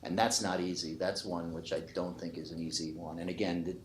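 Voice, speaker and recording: A man's voice: low-pitched, steady tone, fairly quick. An older man, an economist giving emphatic, argumentative, unscripted remarks on a panel, often qualifying his own points. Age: 50s or 60s. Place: conference panel before an audience. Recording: echoey room with faint noise.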